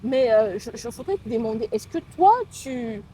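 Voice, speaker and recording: A woman in her twenties with a Cameroonian accent, hosting a conversational interview in a halting, searching way. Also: on the high side, lively intonation, warm tone, rough recording. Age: 20s. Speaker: interviewer and host. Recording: some background ambient noise.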